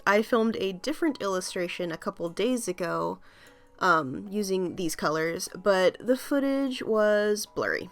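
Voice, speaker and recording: A woman's voice: on the low side, a bit bright. A woman hosting her art video, talking in a casual, rambling voice-over with many ums and small laughs, her voice still slightly scratchy from a cold.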